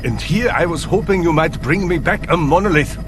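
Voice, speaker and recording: High-voiced German scientist played by a man. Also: lively intonation, brisk, noisy background, lightly acted. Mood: pleased, wry. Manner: precise, wryly formal. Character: wry, cultured German male scientist, calm aide. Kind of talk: video game cutscene acting. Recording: loud music over the voice.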